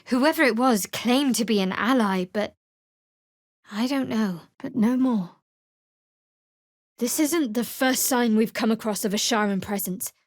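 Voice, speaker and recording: An actress playing a guarded, sardonic young cleric of a dark goddess in a video game, with a dry, wry delivery. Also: female voice, fairly high pitch, a little slow, pauses often, dry recording. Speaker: game character.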